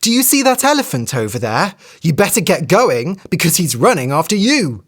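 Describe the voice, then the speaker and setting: A storyteller voicing a wily female hare who sweet-talks and tricks others, in a raised, lively voice. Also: medium pitch, slow pace, pitched above the performer's own voice. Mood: urgent, cheeky. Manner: sweet-talking, sly, bright and loud.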